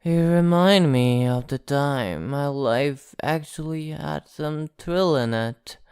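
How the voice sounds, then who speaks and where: Man acting a slow-speaking, menacing vampire. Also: fairly high pitch, clear, resonant tone, no room sound, clean studio sound. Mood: nostalgic, mocking. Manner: slow, theatrical, teasing, softly threatening. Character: ancient male vampire, possessive, condescending, amused, menacing. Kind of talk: ASMR roleplay monologue.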